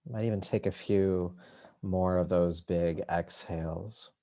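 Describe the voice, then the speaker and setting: Male yoga nidra guide, mellow and soothing, very slow delivery with roomy pauses between phrases. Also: low voice, fairly even intonation. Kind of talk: guided meditation.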